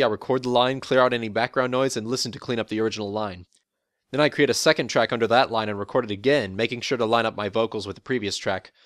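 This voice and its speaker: Young male voice actor, deep and dry, calm explainer reading a prepared piece from a dorm room. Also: no room sound. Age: young adult. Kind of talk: prepared interview monologue.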